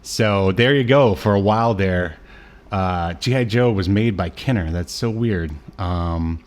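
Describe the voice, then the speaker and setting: A man's voice: deep voice, measured pace. A man co-hosting a podcast, chatting casually about media with wry, joking asides. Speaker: podcast co-host. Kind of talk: casual media review chat.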